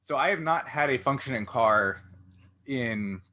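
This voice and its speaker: A man's voice: medium pitch, lively intonation, unhurried, bright, forceful tone, a little hiss or hum. An American man hosting a casual interview, talking in a rambling, self-deprecating way. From southern New Jersey, United States. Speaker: interview host.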